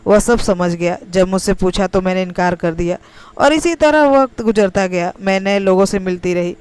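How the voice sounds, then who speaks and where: A woman's voice: medium pitch, normal speed, a little mellow, smooth. A woman reading a scripted, first-person emotional story as its narrator.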